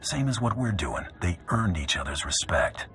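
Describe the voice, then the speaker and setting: An actor playing a tough, cocky bounty hunter in a video game, speaking tersely with dry sarcasm. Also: male voice, low-pitched, sing-song, moderate pace, loud music behind the voice. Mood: wry. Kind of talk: video game cutscene acting.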